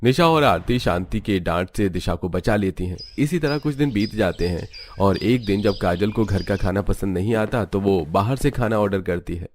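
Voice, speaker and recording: A man's voice: fairly low pitch, expressive, normal speed, few pauses, a little hiss or hum. A man reading scripted narration for a cartoon family story.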